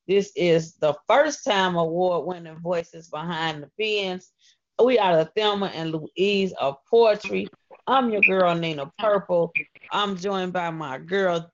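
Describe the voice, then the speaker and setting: Effusive woman radio host, dull audio. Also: on the low side. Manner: effusive, slangy. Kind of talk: casual radio hosting.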